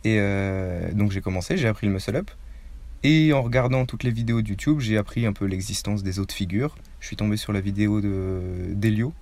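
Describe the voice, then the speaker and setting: A man's voice: fairly low pitch, fairly quick, a little mellow. Chatty eighteen-year-old Parisian guy. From Paris suburbs, France. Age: teens. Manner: chatty, rambling, eager storyteller. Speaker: guest athlete. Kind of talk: casual interview answers.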